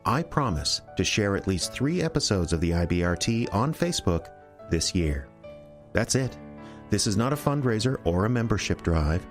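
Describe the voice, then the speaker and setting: A man's voice: lowish voice, sing-song, normal speed, faint music behind. A man hosting and announcing a radio drama show, reading credits and an earnest appeal to listeners. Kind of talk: scripted announcement.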